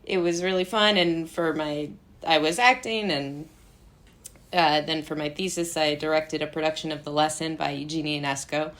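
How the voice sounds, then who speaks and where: Low-pitched American woman chatting casually. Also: fairly even intonation, moderate pace, fairly bright tone. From Brooklyn, New York, United States. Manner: rambling, self-interrupting, conversational. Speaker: interview guest, playwright and actor. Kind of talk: casual chat.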